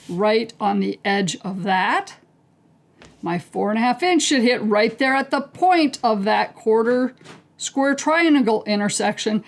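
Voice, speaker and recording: Slow, gravelly woman quilting teacher. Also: fairly high pitch. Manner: calm, unhurried, talks through each step as she works. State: losing her voice, clearing her throat. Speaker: quilting instructor. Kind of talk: step-by-step craft tutorial.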